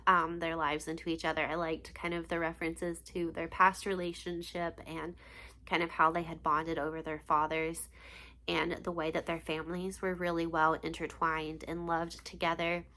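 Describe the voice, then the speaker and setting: Young woman, congested and sniffly, gravelly low voice, gushing casual book chat. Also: flat delivery, moderate pace, sharp tone. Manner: chatty and gushing, many ums and likes. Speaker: book vlogger.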